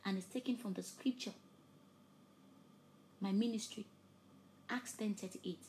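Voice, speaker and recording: A woman with Yoruba as her preaches fervently, her mid-range voice climbing to loud emphatic peaks. She often drops to soft, breathy near-whispers. A faint hiss of noise runs under the recording. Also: mid-range voice, unhurried. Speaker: preacher and host. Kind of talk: preaching a sermon with an altar call.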